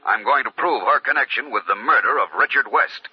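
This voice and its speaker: An actor playing a suave, shrewd gentleman private detective in an old-time radio mystery, polite, probing and dryly understated. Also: medium pitch, a little fast, breathy edge, soft background music. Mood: confident. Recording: dull, muffled old radio recording.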